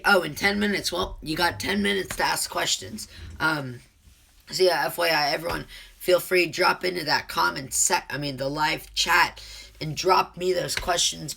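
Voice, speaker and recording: A man hosting his own live stream while sick with a cold, his voice deeper than usual, rambling and answering chat with lots of ums. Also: vocal fry, bright, forceful tone, a little hiss or hum. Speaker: livestream host.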